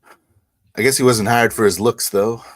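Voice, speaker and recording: A man playing a tabletop roleplaying game, talking in character and describing his actions in a casual, halting way. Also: mid-range voice, steady tone. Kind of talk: improvised in-character roleplay and first-person narration.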